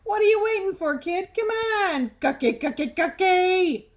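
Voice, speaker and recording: A woman's voice: very high-pitched, animated, a little slow, lo-fi sound, pitched above the performer's own voice. A woman voicing a brash, nagging, impatient talking tooth that demands candy in a storybook reading. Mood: greedy, goading.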